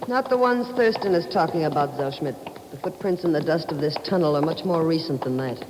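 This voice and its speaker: A woman's voice: sits low, brisk, close to an everyday voice. A woman plays a proud, defiant operative in an old radio thriller. The delivery is clipped and earnest. The vintage recording is noisy, with a long reverb around the voice. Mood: observant. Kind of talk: 1950s radio drama acting.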